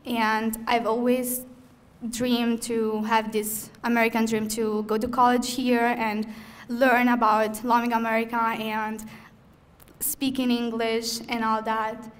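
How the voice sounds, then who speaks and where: Young woman with an Italian accent, a student speaking at a ceremony; earnest, a touch halting, pitched a little high. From Italy. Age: young adult. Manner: earnest, slightly halting, with frequent "um" pauses. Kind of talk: prepared ceremony speech. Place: event hall.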